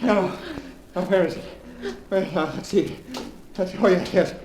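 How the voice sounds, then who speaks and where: An actor playing a desperate, scheming trapped nephew in a melodramatic old-time radio horror style, frantic and repeating himself. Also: male voice, sits high, moderate pace. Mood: agitated scheming. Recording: echoey, noisy old radio recording.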